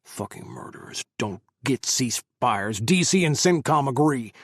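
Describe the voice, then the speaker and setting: A man's voice: medium pitch, animated, normal speed, no room sound, clean studio sound. A man doing a furious bellowing commander. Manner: angry bellowing.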